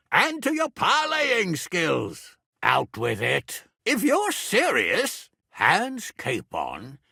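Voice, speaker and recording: A man playing a middle-aged medieval lord and guardian in a video game, blunt, commanding and earthy, with a gravelly, raspy voice. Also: fairly high pitch, pushed and bright, no echo, studio quality, exaggerated voice. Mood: hearty, then incredulous, gruff. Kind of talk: dramatic video game dialogue.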